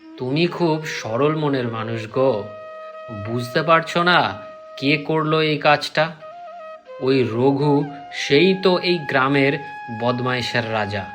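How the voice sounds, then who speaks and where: A man's voice: medium pitch, a little slow, long pauses, over music, natural voice. A man voicing a righteous, combative village man in a cartoon, accusing and emphatic. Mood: suspicious, determined. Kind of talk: cartoon voice acting.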